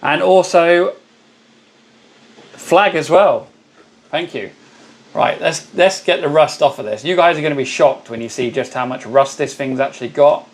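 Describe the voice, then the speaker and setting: A hoarse man hosting a casual live stream, chatting and greeting viewers by name. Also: a little high, unhurried, long pauses. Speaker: livestream host.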